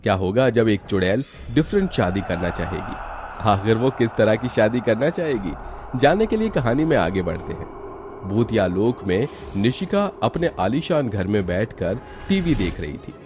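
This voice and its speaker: A man's voice: fairly low pitch, sing-song, normal speed, noisy background. A man giving scripted narration for a horror cartoon story.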